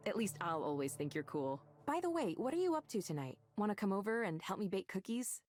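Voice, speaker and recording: A woman's voice: mid-range voice, big pitch swings, fairly quick, darkish tone. A voice actress playing a cheerful, friendly, chatty young woman in a visual novel. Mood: warm, excited.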